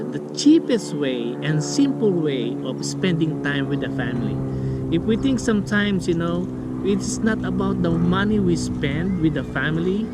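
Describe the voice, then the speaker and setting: A middle-aged man, not a native English speaker, hosting a casual family vlog outdoors and talking with many restarts and fillers. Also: fairly high pitch. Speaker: vlog host. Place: outdoors at a lakeside park table. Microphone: camera on the table. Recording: outdoor ambient noise.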